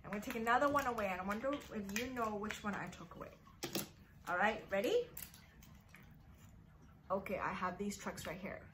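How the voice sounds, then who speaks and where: A woman's voice: mid-range voice, noisy background. A woman hosting a guessing game for young children, talking in a sing-song, encouraging way as a storytime teacher.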